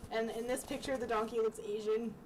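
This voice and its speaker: A woman's voice: fairly high pitch, little pitch movement, fairly quick, darkish tone. A young woman reading aloud in a casual, chatty way, breaking off into giggly asides. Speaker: amateur vlogger reading childhood books aloud. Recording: distant mic with clear background noise.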